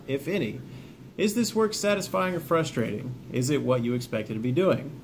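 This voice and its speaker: A man with a mid-pitched voice talks viewers through self-help instructions, glancing through notes as he goes. His manner is plainspoken, informal and encouraging. The recording has clear ambient noise behind him. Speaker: veteran hosting a self-help video series. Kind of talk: instructional talk to camera, reading from notes.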